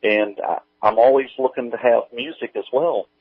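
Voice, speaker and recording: A man speaking as an interview guest, chatting casually in a halting, folksy, informal way. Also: mid-range voice, no room sound. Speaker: guest, audio drama creator. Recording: tinny, phone-like band-limited line.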